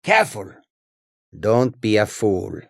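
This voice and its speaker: A man voicing a wary, combative male cat-folk character from a fantasy video game, with a gravelly, raspy voice and exotic, formal phrasing. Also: fairly low pitch, big pitch swings, slow pace, no room sound, studio quality, heavily acted. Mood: warning.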